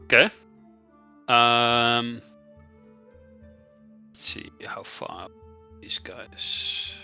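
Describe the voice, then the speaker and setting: Male tabletop player, slow and bright, teasing and laid-back. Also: medium pitch, fairly even intonation, vocal fry, highs cut off, soft background music. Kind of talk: casual tabletop game chat.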